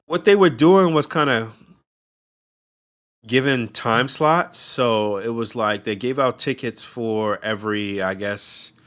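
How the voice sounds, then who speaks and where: A man's voice: mid-range voice, takes it slowly, a bit bright. A man co-hosting a podcast in casual, profane, laughing banter. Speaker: podcast co-host. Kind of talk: casual chat.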